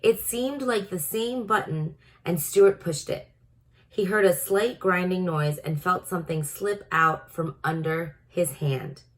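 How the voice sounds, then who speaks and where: A woman with a slightly low voice narrates a children's book. She reads in a teacherly way, as if guiding young listeners through the story. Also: measured pace. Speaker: storybook reader. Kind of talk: read-aloud narration for children.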